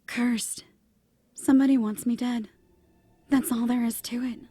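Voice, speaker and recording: A woman acting a shaken but tough young female survivor in a horror audio drama, tense and breathy. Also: fairly high pitch, fairly even intonation, unhurried, dark tone, dry recording. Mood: bitter disbelief.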